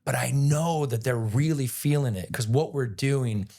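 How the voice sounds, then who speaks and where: A man with a raspy voice, a guest actor telling a rambling, animated story in a casual interview. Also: mid-range voice, measured pace. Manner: animated, rambling retelling with many "like"s.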